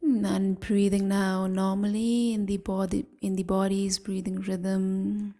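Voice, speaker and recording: A woman leading a guided meditation in a gentle, soothing, half-whispered voice, leaving long pauses between phrases. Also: medium pitch, level tone. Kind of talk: guided evening body scan meditation.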